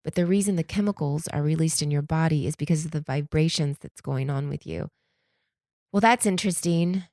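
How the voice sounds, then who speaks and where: A woman's voice: sits low, a little fast, mellow, dry recording, clean studio sound. A congested woman, starting to lose her voice, rambling candidly and casually as a solo podcast host, slangy and conversational. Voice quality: stuffy, congested nose. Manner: candid, conversational, slangy, acts out imagined quotes.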